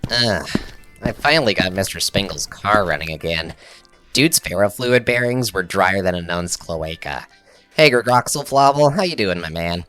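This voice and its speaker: A man's voice: sits low, lively intonation, moderate pace, fairly bright tone, clear, resonant tone, soft background music. A man playing a laid-back, slangy alien mechanic in an audio drama, casual and irreverent. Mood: casual, teasing. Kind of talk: scripted audio drama dialogue.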